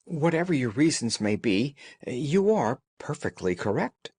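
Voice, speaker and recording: A man's voice: mid-range voice, very animated, a little slow, a little mellow, slightly rough, no echo, full character voice. A man voicing a frightened, weary genteel woman of thirty in a storybook, agitated and pleading in a formal way. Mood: desperate, pleading. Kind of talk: audiobook character dialogue.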